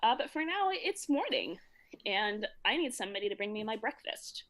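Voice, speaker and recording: A woman voicing a grand, commanding pirate captain queen with a Southern accent, speaking in a haughty, drawn-out way. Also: medium pitch, sing-song, a bit bright. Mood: demanding.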